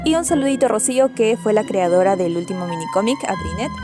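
A woman's voice: mid-range voice, lively intonation, quick pace, music in the background. A woman with a Latin American Spanish accent chatting casually as a channel host. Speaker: channel host and comic creator. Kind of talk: casual video intro and outro.

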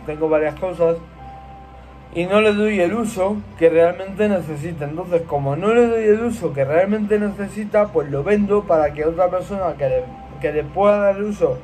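A man's voice: high-pitched, darkish tone. Chatty man on a webcam, music behind. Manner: chatty and repetitive, gesturing a lot with his hands. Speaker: vlogger answering follower questions. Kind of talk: casual Q&A vlog to camera. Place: small room indoors. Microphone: laptop webcam.

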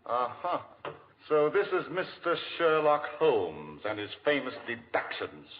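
Radio-play schemer: sardonic nobleman, theatrical, animated intonation, dull vintage recording. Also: mid-range voice, measured pace, noisy background. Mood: mocking, sly. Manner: mocking, theatrical. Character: sardonic, sly, reclusive male aristocrat. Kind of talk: 1940s radio acting.

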